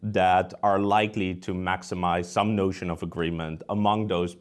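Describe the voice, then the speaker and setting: A man's voice: low voice, level tone, normal speed. A man with a Dutch accent, a researcher giving conversational, explanatory answers as an interview guest. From Netherlands.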